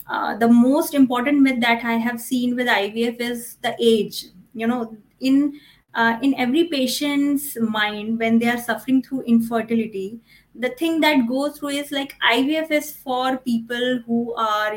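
A woman with an Indian accent speaking as a fertility doctor and podcast guest, explaining things emphatically and repeating herself, often saying "you know". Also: on the high side. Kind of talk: explanatory interview answers.

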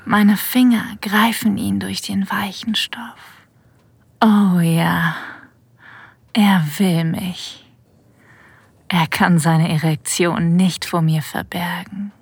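Woman playing a breathy, whispering, sensual female boss. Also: on the low side, fairly even intonation, no echo, exaggerated voice. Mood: aroused, intimate. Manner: slow, intimate, deliberate. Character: female boss having a secret affair, sensual and confident. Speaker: narrator and lead in an erotic audio drama. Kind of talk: ASMR-like scripted first-person narration. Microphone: close, dry studio mic.

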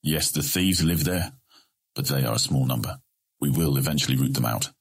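A scheming merchant guildmaster in a fantasy audio drama. His voice is extremely low and raspy. He speaks formally, with a measured, placating politeness. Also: exaggerated voice. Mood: patient, cautioning. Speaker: voice actor in audiobook. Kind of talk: full-cast fantasy audiobook acting.

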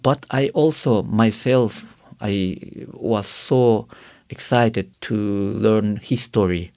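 Man, English, gravelly, dry studio sound. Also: on the low side, dark tone, dry recording. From Karakalpakstan, Uzbekistan. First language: not English. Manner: halting non-native English, earnest, many fillers. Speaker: guest. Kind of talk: personal testimony interview.

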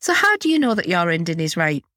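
Slightly hoarse woman podcasting, low-pitched. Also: big pitch swings, fast talker, fairly bright tone, no room sound, studio quality. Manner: chatty, candid, lightly cheeky. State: a bit hoarse from a cold. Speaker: podcast host. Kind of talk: chatty solo podcast.

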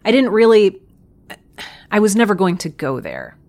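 A woman's voice: mid-range voice, wildly swooping pitch, moderate pace, darkish tone. A woman playing a reluctant, uneasy former corporate agent, speaking casually with some hesitation. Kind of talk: audio drama acting.

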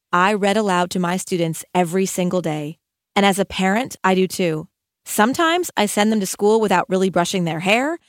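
A woman's voice: medium pitch, no echo, studio quality. A middle-aged woman narrating an audiobook as an educator, in an earnest, articulate manner. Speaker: educator and author reading own audiobook.

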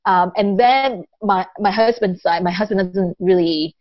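A woman who grew up in Taiwan, a guest in a casual interview, talking in a thoughtful and unhurried way with lots of pauses. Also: mid-range voice, no echo. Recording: dull lo-fi dry audio.

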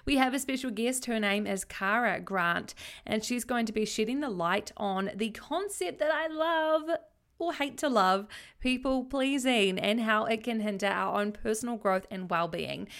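A woman's voice: on the high side, normal speed, a bit bright. A woman working as a podcast host, speaking in an effusive, encouraging way as she introduces and interviews.

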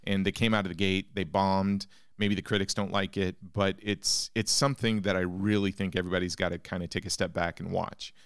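A man's voice: sits low, level tone, fairly quick, hardly any pauses, clean tone, no room sound, studio quality. A man hosting a film podcast, enthusiastic and a little rambling as he introduces topics and asks questions. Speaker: podcast host.

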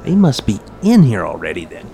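A man's voice: fairly low pitch, wildly swooping pitch, brisk, dark tone, soft background music, exaggerated voice. A man acting in an audio drama as an eager, chummy metal detector club leader who talks in mock-official radio jargon. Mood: official, brisk. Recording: clear background noise.

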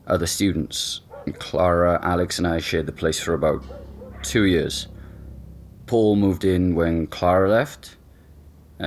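An actor playing an easygoing young boyfriend being interviewed at home, casual and hesitant in a naturalistic audio drama. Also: male voice, sits low.

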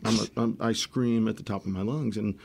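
A middle-aged man, a musician guest, talking casually in a rambling way, his voice worn and raspy at the end of a tour. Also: fairly low pitch, steady tone, a little fast, darkish tone. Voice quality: gravelly. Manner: rambling, restarts often, swears casually. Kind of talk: casual interview chat.

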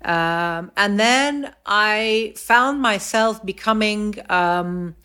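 Middle-aged woman guest, thoughtful and measured, frequent pauses and self-corrections. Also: medium pitch, sharp tone, smooth. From Egypt, raised in the US, Saudi Arabia and England. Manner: thoughtful, many fillers and restarts. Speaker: podcast guest. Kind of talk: reflective interview conversation.

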